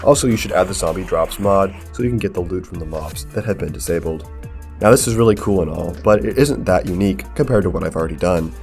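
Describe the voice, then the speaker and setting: A man with a low-pitched voice delivers scripted tutorial narration for a gaming video. He speaks in a measured, explanatory manner. Music plays behind his voice. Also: a little mellow. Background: background music.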